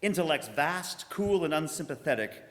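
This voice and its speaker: A man playing a solemn, portentous narrator in a live 1930s-style radio drama, with measured theatrical delivery. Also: a little high, lively intonation, fairly bright tone. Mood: grave, ominous. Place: church, live performance. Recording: live recording in a reverberant hall.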